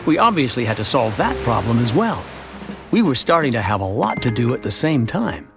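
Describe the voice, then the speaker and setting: A man playing a chatty, wry middle-aged suburban family man who narrates his town's story in an animated short. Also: mid-range voice, a little fast, creaky. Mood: storytelling, wry. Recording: music behind the voice. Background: music.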